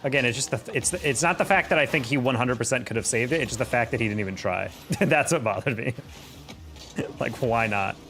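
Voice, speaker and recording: A man's voice: medium pitch, fairly quick, a bit bright, faint music behind. A man chatting casually with his viewers while playing a game on a live stream, exasperated and laughing often. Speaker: streamer and caster.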